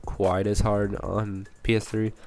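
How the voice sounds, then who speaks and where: A young man with a cold, hoarse and a little raspy, rambling through casual gameplay commentary with many fillers and restarts. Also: on the low side, flat delivery, unhurried, darkish tone. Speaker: gaming channel commentator.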